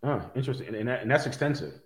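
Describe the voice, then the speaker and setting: A male interviewer chats casually in a room with plenty of echo. His voice sits at an average pitch for a man, and he talks informally, haltingly, doubling up on words. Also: expressive. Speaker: interviewer, athletic trainer. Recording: echoey room.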